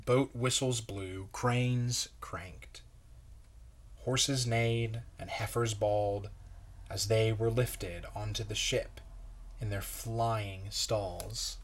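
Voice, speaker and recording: A man, a pastor, reading a children's story aloud in a measured, expressive way. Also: on the low side.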